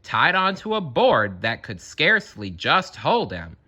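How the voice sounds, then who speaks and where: Male children's storyteller: slow, very lively intonation, expressive, dramatic emphasis. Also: medium pitch, slightly rough. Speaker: storybook reader. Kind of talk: expressive children's read-aloud narration with asides.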